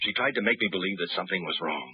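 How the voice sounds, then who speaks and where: An actor playing a determined freelance pilot who narrates in the first person in a 1950s radio drama, terse and urgent. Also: male voice, sits low, lively intonation, racing, breathy edge, exaggerated voice. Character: hard-boiled adult male freelance pilot, determined. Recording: tinny old radio broadcast with music.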